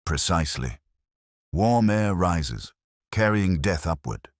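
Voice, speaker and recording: A man voicing a precise, commanding, analytical detective in an audio story, speaking in clipped, deliberate phrases. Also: deep voice, big pitch swings, no echo, clean studio sound, heavily acted.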